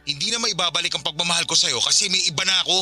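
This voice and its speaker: A man's voice: a little high, racing, music in the background, close to an everyday voice. An actor playing a firm, exasperated father who has moved on from his ex, curt, in a Filipino radio drama with a Filipino accent. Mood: firm, apologetic.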